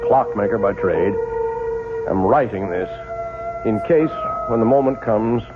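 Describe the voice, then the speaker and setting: Slow, haunted clockmaker on vintage radio. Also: male voice, on the low side, lively intonation. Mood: grave dread. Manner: grave, deliberate, melodramatic. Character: haunted, brooding male clockmaker, absent-minded and grave. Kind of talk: old-time radio drama acting. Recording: tinny vintage radio broadcast, music.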